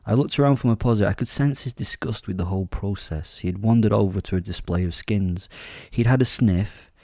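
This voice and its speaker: A very low, velvety voice, close and dry, belongs to a man playing a wealthy werewolf serial killer. He tells his horror tale with measured, smug relish and dark humour. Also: dry recording. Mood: amused, self-satisfied. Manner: measured, smug, darkly humorous storytelling. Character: suave, vain, wealthy male werewolf serial killer, menacing narrator. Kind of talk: first-person dark horror audio drama narration.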